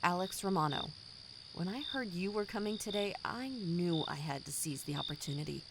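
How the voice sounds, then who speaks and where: Actress doing cold smug lady boss villain, lowish voice, audio drama, crickets and night noise behind her. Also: expressive, moderate pace. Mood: smug, menacing. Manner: smug, formal, menacing. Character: cold, condescending villainous female company boss. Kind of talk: scripted audio drama acting.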